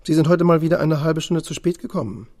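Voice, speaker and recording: An actor playing a stern, officious department store boss in a radio drama, clipped, pedantic and condescending. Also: male voice, fairly high pitch, fast, a little mellow, a little hiss or hum, close to an everyday voice. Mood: cold threatening. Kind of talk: German radio drama acting.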